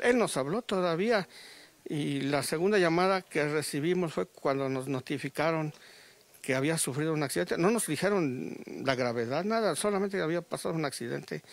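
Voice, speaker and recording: Middle-aged man, Mexican accent, gravelly voice, slightly high pitch, halting restarts, handheld mic outdoors. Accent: Mexican Spanish. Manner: halting, many restarts and fillers. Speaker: grieving father. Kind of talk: live news interview. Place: outdoors under a tent at a funeral. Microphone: handheld reporter mic.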